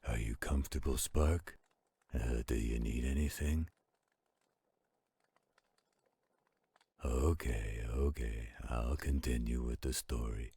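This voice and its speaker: A man voicing a gentle, protective werewolf in a roleplay audio, reading aloud in a slow, soothing, husky, gravelly voice. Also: rumbling low, fairly even intonation, full character voice. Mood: gentle, attentive.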